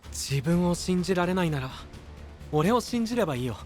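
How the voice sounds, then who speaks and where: A man's voice: high voice, expressive, a little fast, faint music behind. A man playing a composed, supportive young knight in a voice drama, speaking with a reassuring manner. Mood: calm, reassuring. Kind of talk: anime-style voice drama acting.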